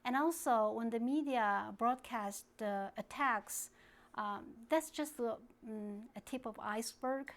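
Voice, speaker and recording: A woman from China, speaking English as a later language, answering interview questions in a halting way with many restarts. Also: fairly high pitch. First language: Kazakh. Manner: halting, searching for words, frequent restarts. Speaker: guest activist.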